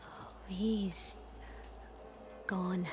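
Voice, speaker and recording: An eager, jittery young diviner in a video game, her words breathy and quick to rise. Soft game music runs behind her. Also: medium pitch, level tone. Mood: nervous. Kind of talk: video game cutscene acting.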